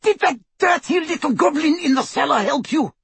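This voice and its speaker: A man's voice: extremely high pitch, expressive, moderate pace, creaky, fairly bright tone, no room sound, pitched above the performer's own voice, full character voice. A man voicing a cruel, deranged villainess from a storybook in a screeching, menacing, demanding way. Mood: screeching fury. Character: cruel, shrieking, deranged villainess interrogating a captive. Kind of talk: storybook character voice.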